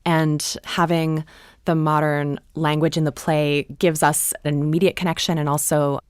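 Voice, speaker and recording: A woman's voice: low-pitched, level tone, moderate pace, clean tone, no room sound, clean studio sound. A woman playwright explaining her work thoughtfully in a panel discussion. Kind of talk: panel discussion about a play.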